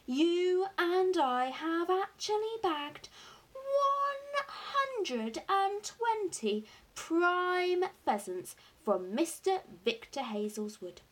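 A woman voicing a jubilant, boastful father in a storybook, excited and grandly emphatic as key words are drawn out. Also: on the high side, voice pushed up, heavily acted, poor audio. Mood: triumphant. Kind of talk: acted audiobook dialogue.

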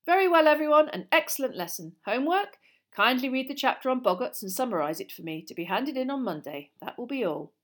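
Woman as male magic teacher, storybook voice, brisk, commanding, encouraging, sharp bright tone. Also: high-pitched, very animated, voice pushed up, exaggerated voice. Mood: pleased, brisk. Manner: commanding, calling out instructions to pupils. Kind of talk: storybook character voice.

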